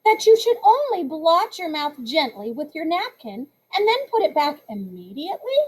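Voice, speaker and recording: A woman's voice: very high voice, very animated, moderate pace, low-quality recording. A woman reading aloud, voicing a prissy know-it-all schoolgirl who lectures on manners in a preachy, sing-song way. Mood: prim.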